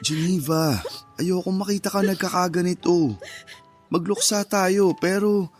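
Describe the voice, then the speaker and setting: Man acting gentle, slightly high-voiced worried husband. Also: a little slow. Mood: tearful pleading. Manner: soothing, earnest. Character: gentle, worried young husband, grieving but steady. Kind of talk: radio drama acting. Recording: music under the dialogue. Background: background music.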